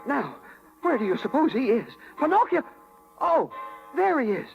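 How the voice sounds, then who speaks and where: An excitable, fussy little cricket. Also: male voice, very high-pitched, normal speed, vocal fry, muffled recording, heavily acted. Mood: anxious. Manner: excitable, scolding, folksy. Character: small male cricket conscience, fussy, indignant, caring. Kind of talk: 1930s radio acting. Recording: vintage 1939 radio with music.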